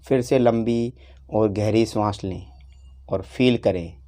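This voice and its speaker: A man teaches guided meditation in a lowish, mellow voice. He speaks very slowly, laying out each instruction in short phrases and leaving long pauses between them.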